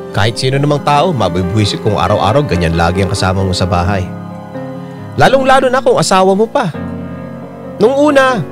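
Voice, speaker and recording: A troubled Filipino husband played by a male actor confides his story as radio drama narration. His mid-pitched voice moves expressively up and down, earnest throughout, over clear piano music. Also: natural voice. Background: piano and keyboard music.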